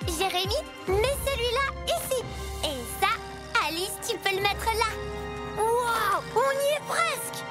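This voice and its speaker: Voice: very high-pitched, expressive, a little slow. An actor voicing a keen, confident young child mini-truck, a chirpy and playful helper in a children's cartoon, over music. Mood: focused, confident. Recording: loud music behind the voice.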